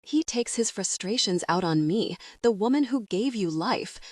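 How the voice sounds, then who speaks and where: A woman's voice: mid-range voice, animated, moderate pace, a little mellow, dry recording, clean studio sound, natural voice. A woman voicing a bitter, scheming middle-aged mother who speaks spitefully in an audiobook.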